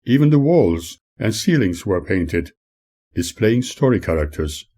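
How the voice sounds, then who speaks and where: An older man reads his memoir in a measured, earnest tone. His voice sits low and dark, with a husky grain to it. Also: very animated. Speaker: author reading his own memoir. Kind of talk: memoir reading.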